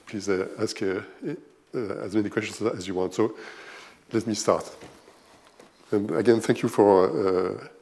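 A man's voice: fairly low pitch, a little mellow. An older man with a German accent giving a seminar lecture in English, hesitant, with many fillers and restarts. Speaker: guest speaker at a physics seminar. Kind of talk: academic lecture.

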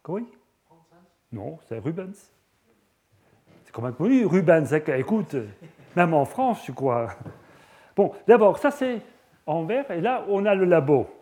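Flemish guy giving a lecture, kinda high but mellow voice, lots of euhs and restarts. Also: very animated, a little slow, long pauses. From Belgium (Flanders). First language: Dutch (Flemish). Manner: hesitant, many fillers and restarts. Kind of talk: scientific lecture with slides.

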